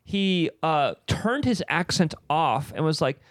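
A man's voice: on the high side, expressive, takes it slowly, a bit bright, no room sound, studio quality. A young man co-hosting a casual podcast, telling stories with lots of fillers and frequent laughs. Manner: rambling storyteller, laughs often, lots of fillers. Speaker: podcast co-host. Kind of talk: casual chat.

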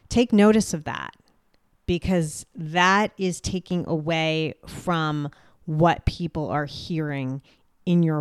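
Booming, clear-voiced woman podcaster. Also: darkish tone, no room sound, studio quality. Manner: direct, speaks clearly with authority. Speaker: podcast host. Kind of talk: solo podcast talk.

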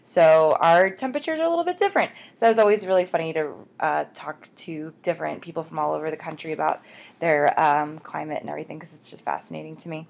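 A woman's voice: sits low, quick pace, creaky, darkish tone. An American woman hosting a podcast in a casual, chatty style, with a gushing, enthusiastic manner. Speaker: podcast host.

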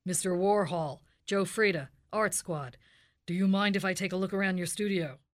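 A woman playing a terse, deadpan police detective who narrates in a parody of a radio crime drama. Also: fairly low pitch, normal speed, natural voice. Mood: businesslike. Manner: clipped, deadpan.

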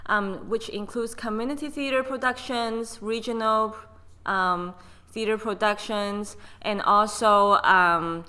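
A woman's voice: mid-range voice, steady tone, slow, sharp tone. A young woman with a Hong Kong accent, a guest costume designer explaining her work in a podcast interview with frequent ums.

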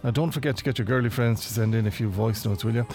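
A man's voice: fairly low pitch, fast, vocal fry, a little mellow. An Irish man playing a self-important, put-upon late-night love-song radio presenter in a comedy radio play, bossy and dry in his delivery.

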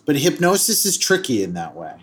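Halting man in his fifties. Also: mid-range voice, huge pitch swings, fairly bright tone. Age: 50s. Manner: halting, repeats words often. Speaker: podcast co-host. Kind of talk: casual chat.

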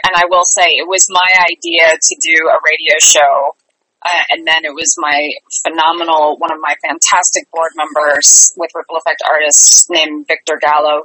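A woman's voice: low-pitched, pushed and bright, breathy edge, dry recording, poor audio. A woman talking conversationally as an interview guest, an enthusiastic theatre director.

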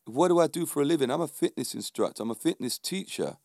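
A man's voice: a little high, expressive, fast, a little mellow, no echo, studio quality. A middle-aged man with a London accent, talking informally and emphatically to viewers as a fitness instructor, often checking in with short rhetorical questions. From London, England. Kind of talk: informal motivational monologue to viewers.

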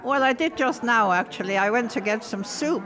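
An older woman born in Denmark, a native Danish speaker, talking in a friendly, chatty way with little hesitations. She is being interviewed in a church hall after a service, with a crowd chattering clearly behind her. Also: on the high side, expressive, normal speed. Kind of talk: casual interview chat.

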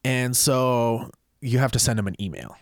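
A man's voice: mid-range voice, normal speed, dry recording, studio quality. A man telling a rambling story in casual chat, with lots of fillers, restarts and laughs.

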